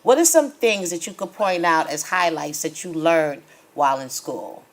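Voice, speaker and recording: A woman with a fairly low voice hosts a conversational interview. She talks informally and affirms her guest in an encouraging manner as she asks questions. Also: expressive, normal speed. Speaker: show host, interviewer.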